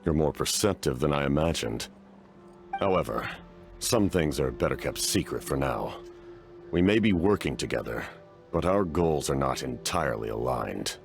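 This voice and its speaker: A solemn masked knight of a fantasy game, with an extremely low voice. He is stoic and war-weary, choosing formal words with measured gravity. Soft music plays behind him. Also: animated, gravelly, full character voice. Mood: guarded.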